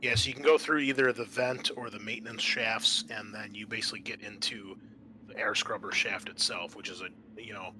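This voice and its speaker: Bright-voiced man running a tabletop game. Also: on the low side, fairly even intonation, brisk, a little hiss or hum, rough recording. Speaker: host and game master. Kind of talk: narrating a tabletop roleplaying game, unscripted.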